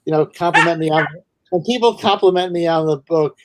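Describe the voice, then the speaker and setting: Man, new york accent, fairly high voice, dry close sound, video call guest, hesitant and thoughtful. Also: brisk, dry recording, rough recording. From New York City. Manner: thoughtful, hesitant, many restarts. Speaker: guest author. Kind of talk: casual video call interview.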